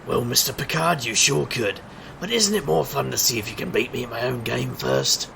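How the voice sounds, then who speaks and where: Man playing a gravelly, cocky street magician sleuth. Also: medium pitch, moderate pace, background noise. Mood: cocky, taunting. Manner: showman's sing-song patter, wry and theatrical. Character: male street magician private investigator, cocky, wisecracking trickster. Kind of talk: scripted audio drama acting.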